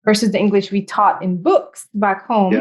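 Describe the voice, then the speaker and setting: A woman whose first language is French, hosting a casual podcast chat, animated and quick to laugh, with fast asides. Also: medium pitch. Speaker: podcast host.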